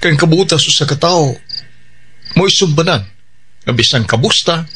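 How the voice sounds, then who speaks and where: An actor playing a devout working father with a Bisaya Filipino accent, gently giving advice in a radio drama. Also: on the high side, expressive, moderate pace, slight background noise. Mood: gently admonishing. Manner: gently admonishing, earnest.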